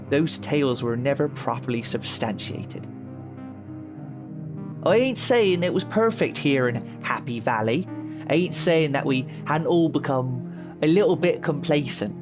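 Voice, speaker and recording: A man's voice: mid-range voice, normal speed, over music, close to an everyday voice. A man playing an aggrieved, foul-mouthed teenage son in an audio drama, slangy and sardonic. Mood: sardonic storytelling.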